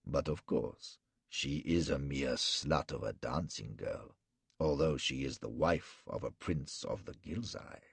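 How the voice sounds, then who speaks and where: A man voicing a cruel, mocking male warlord in an audiobook, speaking with slow, measured menace in a gravelly, husky voice. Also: very deep voice, dry recording, exaggerated voice. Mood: menacing. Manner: slow, gloating, measured threats. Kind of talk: audiobook character reading.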